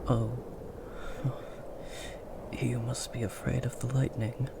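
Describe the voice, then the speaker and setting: A man voicing a big, gentle, protective werewolf in a comforting ASMR roleplay, speaking in a hushed, soothing, breathy murmur. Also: mid-range voice, flat delivery, takes it slowly, very noisy. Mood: reassuring.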